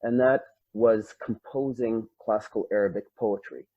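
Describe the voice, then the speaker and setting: A man's voice: fairly low pitch, fairly even intonation, normal speed, mellow, no room sound. A man, patiently explaining grammar with examples as an Arabic instructor. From Canada. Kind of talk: lecture. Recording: dull, dry lo-fi.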